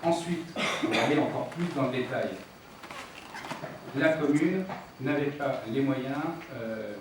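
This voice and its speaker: A man arguing as a local official in a council debate, insistent and emphatic, repeating his points. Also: mid-range voice. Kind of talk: political debate, explaining and arguing. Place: indoor municipal council meeting. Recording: very echoey room, distant mic, noisy.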